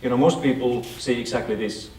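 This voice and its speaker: A man's voice: mid-range voice, steady tone, a little fast, noisy background. A man from Finland with a Finnish accent, speaking English as a guest speaker who gives a conversational talk and asks the audience questions. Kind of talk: lecture presentation to an audience. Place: lecture hall. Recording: echoey hall, recorded from a distance.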